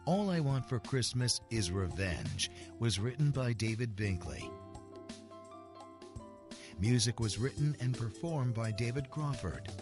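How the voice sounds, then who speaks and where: A man's voice: medium pitch, very animated, unhurried, over music. A man reading scripted credits as a radio drama announcer.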